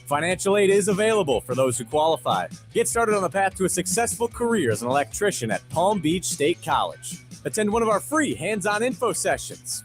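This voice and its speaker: A man announcing a scripted radio commercial. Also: high-pitched. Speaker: advertising announcer.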